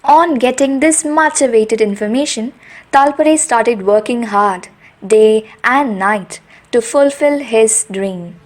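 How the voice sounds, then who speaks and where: A woman's voice: on the high side, slow. A woman reading scripted storytelling narration for a puppet show.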